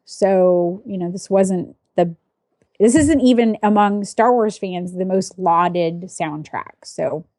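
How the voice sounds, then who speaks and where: A woman in her forties co-hosting a casual podcast, explaining things in a measured, reasoning way. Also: on the low side, darkish tone, no room sound. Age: 40s. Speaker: podcast co-host. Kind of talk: casual pop-culture podcast chat.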